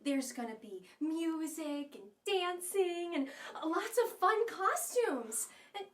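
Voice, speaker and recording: Little firefly girl played by a woman, very high voice, cheerful, eager, reassuring, children's puppet show. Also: animated, slow, a bit bright, far from the mic, full character voice.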